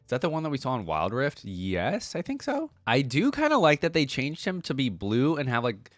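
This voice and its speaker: Man with lively, swooping casual delivery. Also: mid-range voice, moderate pace, no echo, soft background music. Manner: informal, thinking aloud with frequent "like" and "giving". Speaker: video host reacting to game content. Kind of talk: casual reaction commentary.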